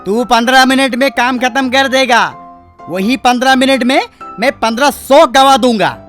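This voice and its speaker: A storyteller voicing an irritated, hurried village workman with a brusque, impatient manner in a cartoon story. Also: sits high, a bit bright, soft background music, voice pushed up.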